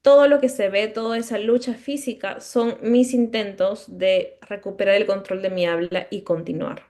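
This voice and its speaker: A woman's voice: medium pitch, steady tone, normal speed, darkish tone. A woman who stutters, giving a conference lecture as a speech-language pathologist, explaining step by step, with occasional mid-word restarts and checking questions to the audience.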